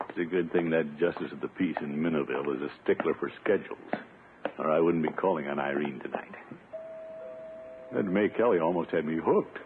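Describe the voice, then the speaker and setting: An actor playing a pompous, flustered middle-aged bachelor in a 1950s radio comedy, hemming and blustering. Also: male voice, rumbling low, brisk. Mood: sheepish, relieved. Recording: muffled, noisy vintage radio broadcast.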